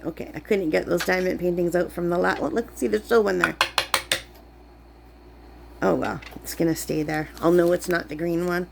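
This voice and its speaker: A sick woman, chatting in a rambling way while crafting, her voice lower than usual. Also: steady tone, moderate pace, gravelly, very noisy. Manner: chatty, rambling, many fillers. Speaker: crafting vlogger. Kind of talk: casual chat while crafting. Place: camp cabin indoors.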